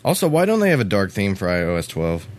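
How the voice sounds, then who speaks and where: A man with a low voice co-hosting a casual podcast. His replies are short and dry, yet his pitch still swings widely, laid-back as he ribs his partner. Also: huge pitch swings, quick pace. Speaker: podcast co-host. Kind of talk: casual banter.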